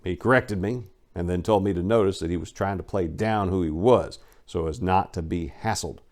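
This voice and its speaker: A man with a fairly low voice performs a dramatic reading of his own writing. He tells it in the first person like an audiobook, in colloquial language with a cocky, casual edge. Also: normal speed, darkish tone.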